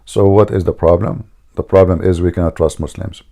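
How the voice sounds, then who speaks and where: Gravelly man. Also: low voice, steady tone, a little fast, dark tone. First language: Arabic. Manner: mocking, emphatic, repeats himself. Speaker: debate show host. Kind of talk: argumentative religious debate.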